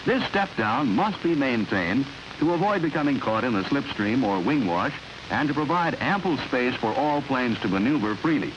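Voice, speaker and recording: A man with an American accent reads a scripted 1950s instructional film narration in a measured, clear, instructional manner. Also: mid-range voice, lively intonation. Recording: dull noisy 1950s film soundtrack.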